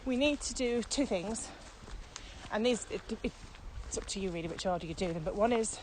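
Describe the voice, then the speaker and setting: A woman's voice: medium pitch, brisk, darkish tone, poor audio. A middle-aged woman in a casual explanatory podcast chat, talking through things step by step in an emphatic, conversational way. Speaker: podcast co-host and experienced trainer. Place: outdoors, walking. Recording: outdoor walking recording, clear background noise.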